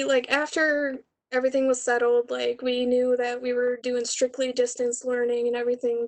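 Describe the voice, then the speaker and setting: A young woman with a fairly high voice answers questions about her own student production. Her intonation is extremely flat, and she explains things in an informal, conversational way. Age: young adult. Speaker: student director presenting a capstone project.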